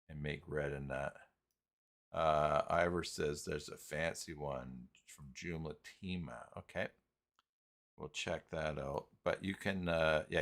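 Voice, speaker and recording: A man hosting a casual live software tutorial, talking haltingly and muttering to himself as he works through things on screen. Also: low-pitched. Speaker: live stream host, software tutorial presenter. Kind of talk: casual unscripted screen-share walkthrough.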